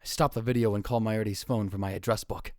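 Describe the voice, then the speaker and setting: A man's voice: lowish voice, fairly even intonation, fast, no echo, studio quality, lightly acted. A man playing a dramatic, paranoid young self-styled mad scientist in a visual novel fan dub, theatrical, brooding and self-important. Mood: brooding, suspicious. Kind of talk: visual novel fan dub, first-person narration and dialogue.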